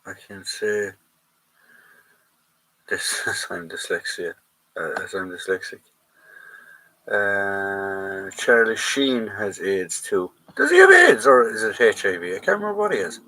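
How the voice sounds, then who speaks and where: A man's voice: lowish voice, sing-song, unhurried, pauses often, bright, forceful tone, rough recording. An Irish man hosting a live chat, casually reading out and answering viewer comments, trailing off and restarting.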